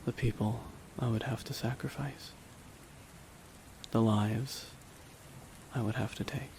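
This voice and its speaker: A man playing a brooding, remorseless villain in a dramatic ASMR roleplay, speaking in a hushed, deliberate way with long pauses. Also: mid-range voice. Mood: solemn, reflective. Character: brooding, remorseless male villain at his dying nemesis's side. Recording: steady rain noise behind the voice. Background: rain falling on a surface.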